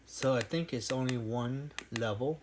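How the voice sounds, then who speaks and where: A casual reviewer with a medium-pitched voice talks through a product unboxing. The delivery is informal, like someone thinking aloud while handling things. The recording has clear noise in it, with small clicks. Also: a little slow.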